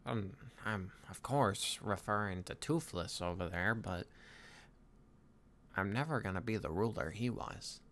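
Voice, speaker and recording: A man voicing a weary, earnest young Viking leader in improvised roleplay audio, nasal and halting, musing to a companion. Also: mid-range voice, slight background noise. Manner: halting, hesitant musing with pauses.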